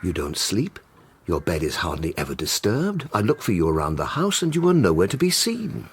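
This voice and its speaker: An actor playing a stern, dutiful older gentleman, a father who speaks formally and admonishingly in an audio drama. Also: low voice, huge pitch swings, moderate pace, breathy edge, full character voice. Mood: concerned, probing.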